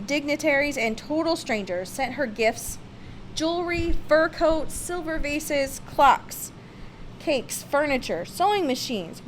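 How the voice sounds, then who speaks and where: A woman's voice: high voice, slight background noise, rough recording. A woman reads a children's picture-book biography aloud with theatrical, emphatic expression. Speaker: storybook reader.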